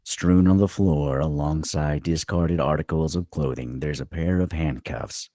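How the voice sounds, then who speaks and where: A man narrating a screenplay at a table read, with a gravelly, husky voice. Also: rumbling low, a little slow, mellow, dry recording, studio quality.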